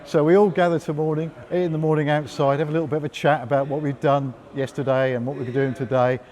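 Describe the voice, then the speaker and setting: A man's voice: on the high side, level tone, fast talker, darkish tone. A man in his sixties, a gym member chatting in an interview, jokey and talking straight to the interviewer. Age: 60s. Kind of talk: casual interview chat.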